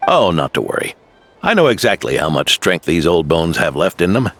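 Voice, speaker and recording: Gravelly old knight. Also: male voice, low-pitched, very animated, normal speed, fairly bright tone, faint music behind, exaggerated voice. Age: older. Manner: gruff, fatherly, teasing. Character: old seasoned male knight, gruff and steady. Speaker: veteran knight. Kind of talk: video game voice acting.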